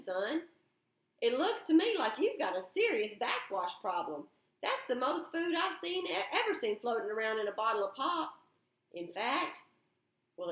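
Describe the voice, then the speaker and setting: A woman's voice: a little high, reverberant. A woman voicing a kindly older man who teases a boy, telling his worries with easy humor. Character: older male driver, kindly teasing man.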